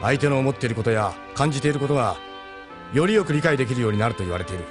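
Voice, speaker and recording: A man playing a gruff, steadfast ship captain and mentor in a Japanese audio drama, speaking bluntly and urgently. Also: medium pitch, expressive, racing, music in the background, natural voice. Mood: earnest, encouraging.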